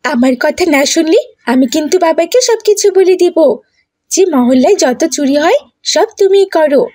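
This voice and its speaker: A woman's voice: very high-pitched, expressive, normal speed, a little mellow, no echo, pitched above the performer's own voice. A woman voicing a mischievous, scheming young village girl in a cartoon, with a wheedling, bossy way of talking.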